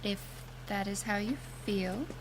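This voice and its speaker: An actress playing a solemn, gentle bereavement group leader in a fiction podcast, speaking in a measured, formal manner. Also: female voice, medium pitch, natural voice. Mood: gentle, consoling. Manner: measured, formal, deliberate phrasing.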